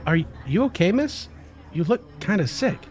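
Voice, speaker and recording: A high, swooping young male voice, acted by a man as a hotel desk clerk in an audio drama. He is polite and awkward, stammering through his words like someone half-bored and unprepared. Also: very animated, normal speed, darkish tone, soft background music. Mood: concerned. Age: young adult.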